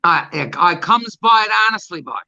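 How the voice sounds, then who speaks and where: A woman's voice: fairly low pitch, expressive, normal speed, sharp tone, no room sound, dull audio. A woman with a Newfoundland accent, casually telling anecdotes in a group chat. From Newfoundland, Canada.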